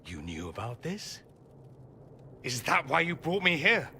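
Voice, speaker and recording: A man's voice: on the high side, huge pitch swings, unhurried, pushed and bright, a little hiss or hum, exaggerated voice. An actor playing a proud, witty, wounded young nobleman mage in a video game, with an arch, articulate, theatrical delivery. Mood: bitter, sarcastic. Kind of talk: video game cutscene acting.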